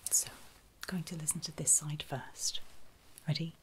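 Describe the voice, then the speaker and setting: Softly whispering woman, flat and gentle. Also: low voice, unhurried. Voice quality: soft, mostly unvoiced breathy whisper. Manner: gentle, reassuring, step-by-step instructions. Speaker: ASMR performer playing a pre-op medical examiner. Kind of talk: whispered ASMR medical roleplay.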